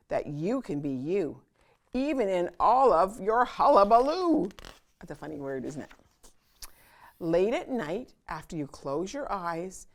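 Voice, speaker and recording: A woman hosting a children's storytime, reading a picture book aloud in an expressive, sing-song manner with dramatic pauses. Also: on the low side.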